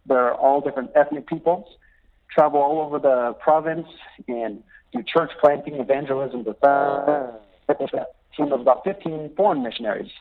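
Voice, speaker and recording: A middle-aged man calling in as a missionary guest, telling stories in a lively, animated way and laughing often. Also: on the high side, normal speed, creaky. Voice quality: laughs often while talking. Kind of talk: phone interview, storytelling. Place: calling in from abroad. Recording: choppy phone line.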